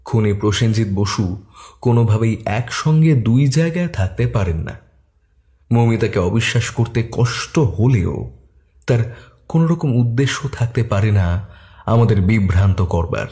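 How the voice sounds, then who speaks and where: A man narrating a detective story in a steady, expressive way. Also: lowish voice, measured pace.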